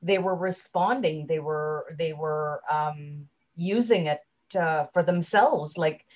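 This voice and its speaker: A woman giving a conversational interview as a guest speaker, chatty and halting, often leaving sentences unfinished, on slightly dull audio. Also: low voice, darkish tone. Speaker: guest, LinkedIn trainer and speaker.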